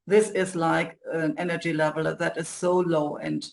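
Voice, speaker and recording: A woman hosting an interview, speaking earnestly and supportively in halting phrases as she encourages listeners. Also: low voice, flat delivery, vocal fry. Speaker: interview host, coach.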